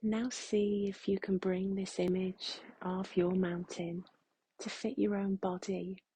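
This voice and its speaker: Soft, breathy woman guiding a slow meditation. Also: mid-range voice, little pitch movement, poor audio. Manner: gentle, unhurried, with long pauses. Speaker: meditation guide. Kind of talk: guided meditation.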